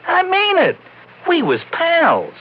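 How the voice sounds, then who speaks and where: An actor playing a slangy, defensive small-time American ex-mob bookie in a vintage radio crime drama. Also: male voice, very high-pitched, wildly swooping pitch, takes it slowly, full character voice. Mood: agitated, defensive. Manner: slangy gangster talk, stammering, protesting. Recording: muffled old radio recording.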